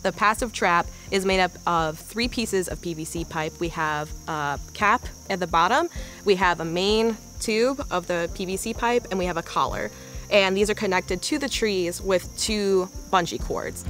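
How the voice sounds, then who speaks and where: Low-voiced young woman explaining fieldwork. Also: normal speed, a bit bright, faint music behind. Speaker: field researcher. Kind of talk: explanatory documentary interview.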